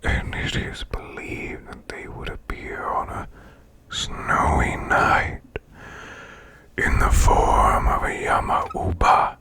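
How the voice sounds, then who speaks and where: A man voicing a male demon boss in an ASMR bedtime reading, with a breathy, rasping, growled whisper and slow, deliberate storytelling. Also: sharp tone. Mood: hushed, soothing. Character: male demon boss, growling while lulling listener to sleep.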